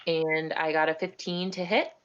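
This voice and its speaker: Female tabletop gamer, slightly low voice, casual delivery, dull online-call sound. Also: flat delivery, moderate pace, clear, resonant tone. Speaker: tabletop game player. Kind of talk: casual game chat.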